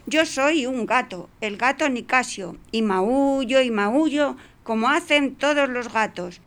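A woman's voice: high-pitched, a little slow, fairly bright tone. A woman voicing a cocky, bossy male cat in a radio play, bantering in a mocking, challenging way. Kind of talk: radio play adapted from puppet show.

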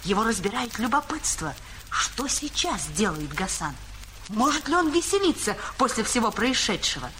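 Male narrator, measured fairy-tale delivery, lively pitch, noisy background. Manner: measured storytelling.

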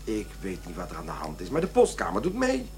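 Radio drama role: irritable middle-aged office boss and husband off cigarettes, animated and blustering, faint hum behind. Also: male voice, low-pitched, quick pace, full character voice. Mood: weary, puzzled. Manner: blustering, defensive. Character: irritable office manager and husband quitting smoking. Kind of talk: radio play acting.

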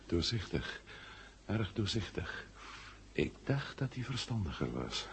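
A brooding captive mutters slowly in a low voice on a faintly noisy radio drama tape. He sounds suspicious and absorbed in his own deductions. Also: big pitch swings, gravelly, full character voice. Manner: slow, muttering. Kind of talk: 1970 Dutch radio drama acting.

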